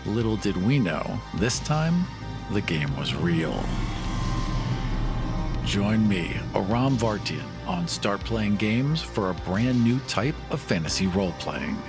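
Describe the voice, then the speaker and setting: A man's voice: lowish voice, sing-song, takes it slowly. A man hosting a podcast, reading scripted announcements and promos. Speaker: podcast host and producer. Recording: music under the voice.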